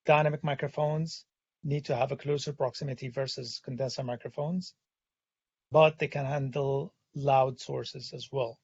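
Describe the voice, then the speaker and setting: A man with a hoarse, gravelly voice, worn out from talking, walks through a technical topic in a webinar. He pauses often on "uh" and keeps a mid-pitched, steady delivery. The sound is dry and a bit dull. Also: no room sound. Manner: hesitant, many "uh" fillers. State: hoarse, has lost his voice. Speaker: webinar presenter. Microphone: studio condenser microphone.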